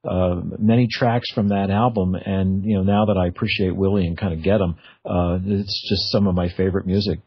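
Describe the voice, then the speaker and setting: A middle-aged man hosting a radio interview, chatting casually in a halting, reflective way. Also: sits low, fairly even intonation, husky. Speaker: radio show host. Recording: dull, lo-fi radio audio.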